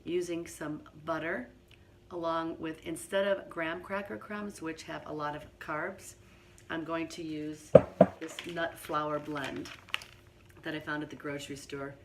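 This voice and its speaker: A hoarse middle-aged woman with laryngitis, talking quietly and partly whispering as she demonstrates a recipe in her home kitchen. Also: sits low, steady tone, a little slow, very noisy. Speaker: home cooking host. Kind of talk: casual cooking demonstration.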